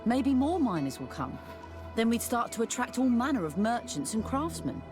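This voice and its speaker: Over clear background music comes a plain-spoken working villager from a fantasy game. She is colloquial and matter-of-fact, with a lot of movement in her pitch. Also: big pitch swings, normal speed. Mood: hopeful. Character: plain-spoken, hardworking female mining villager. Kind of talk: scripted video game dialogue.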